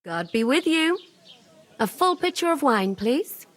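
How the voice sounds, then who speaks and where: A woman's voice: high voice, sing-song, a little slow. An actress playing a friendly, wry castle serving woman in a medieval video game. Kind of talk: video game cutscene acting.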